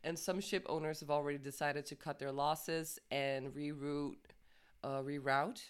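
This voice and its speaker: A woman's voice: sits low, fairly even intonation, unhurried, smooth. A woman co-hosting a podcast, reading news casually, stumbling over words, swearing and laughing often. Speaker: podcast co-host.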